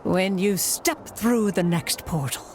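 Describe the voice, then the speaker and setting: A woman's voice: mid-range voice, slow pace, heavy background noise, natural voice. A woman playing a dramatic, mystical fortune teller in a cartoon game, grandiose in her delivery. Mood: enraptured, mysterious. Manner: theatrical, grandiose.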